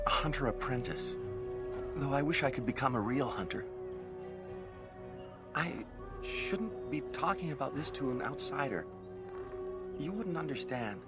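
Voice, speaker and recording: An actor playing a young, dejected elven hunter apprentice in a video game, speaking hesitantly and with self-doubt. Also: male voice, mid-range voice.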